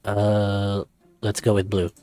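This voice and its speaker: A man's voice: lowish voice, monotone, slow, warm tone, dry recording, soft background music. Hesitant young wolf voiced by a man. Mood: reluctant. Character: young male wolf, bewildered, self-conscious, reluctant.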